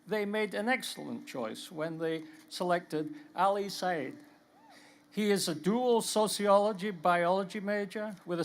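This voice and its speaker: A man introducing a speaker in a formal, scripted way at an outdoor stadium ceremony, using a podium microphone. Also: sits high. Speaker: ceremony presenter.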